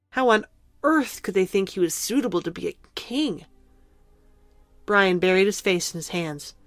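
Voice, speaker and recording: A woman reading scripted narration for an audio drama in a measured, dramatic storytelling manner. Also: mid-range voice, no room sound, studio quality.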